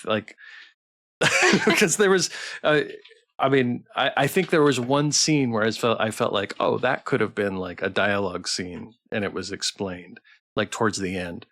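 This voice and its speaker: A man streamer hosting a casual developer Q&A, phrasing his questions in a halting, rambling way. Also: on the low side, fairly bright tone. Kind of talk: casual, unscripted interview chat.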